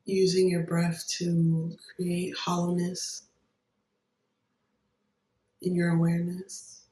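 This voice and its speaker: A meditation guide giving gentle, unhurried instructions with long pauses between phrases. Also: mid-range voice, monotone. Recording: roomy echo, distant microphone.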